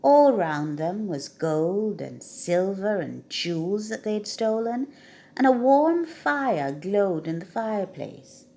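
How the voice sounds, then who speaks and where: A woman reading a children's story aloud with expressive, dramatic emphasis. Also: medium pitch, takes it slowly.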